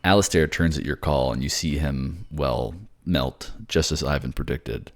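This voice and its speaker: A man's voice: extremely low pitch, measured pace. A man narrating a scripted fantasy audio drama in the second person. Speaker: narrator and voice actor.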